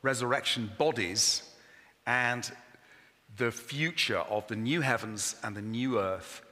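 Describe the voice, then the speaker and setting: A man preaches with unhurried enthusiasm, his voice bright and forceful. The sound carries a long church-hall reverb, and he talks to the congregation with an easy, apologetic warmth about his own loudness. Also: medium pitch. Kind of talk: sermon.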